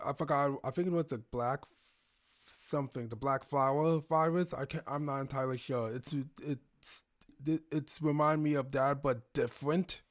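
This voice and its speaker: A man giving casual, unscripted reaction commentary as host, halting and repeating himself as he goes. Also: medium pitch.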